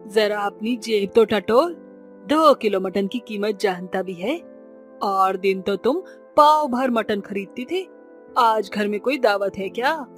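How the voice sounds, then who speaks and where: A woman's voice: medium pitch, animated, slow. A woman voicing a greedy, cunning village mother-in-law who sells mutton in a cartoon story, sly and wheedling. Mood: mocking. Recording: music behind the voice.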